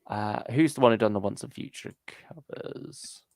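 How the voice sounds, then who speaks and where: A man's voice: medium pitch, moderate pace, gravelly, a little mellow, dry recording, clean studio sound. A man co-hosting a fan stream, chatting casually and musing as he goes, sometimes trailing off. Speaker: co-host of a fan discussion stream.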